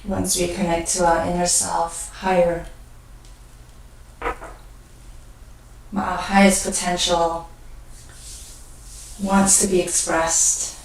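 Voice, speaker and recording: A woman leading a guided meditation, speaking gently and unhurriedly in short phrases with pauses between them. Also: lowish voice, flat delivery, creaky, slight background noise. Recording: very echoey room, distant mic.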